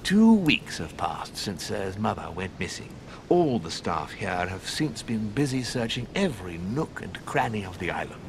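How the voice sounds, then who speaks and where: A man's voice: very animated, normal speed, vocal fry, few pauses. A man playing a deferential, ceremonious manor servant in a video game, formal and eager to reassure. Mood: formal, careful. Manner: obsequious, formal, addresses listener in third person. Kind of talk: video game cutscene acting. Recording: constant rumbling background noise.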